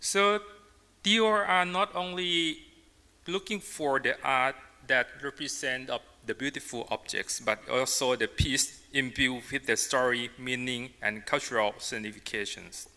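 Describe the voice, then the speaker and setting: A man from Thailand with a Thai accent, a native Thai speaker, giving a symposium talk as an artist and designer in halting, emphatic English phrases. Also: mid-range voice, steady tone, pushed and bright, clean tone. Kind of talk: public presentation in non-native English. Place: large indoor symposium hall. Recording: reverberant hall, amplified talk.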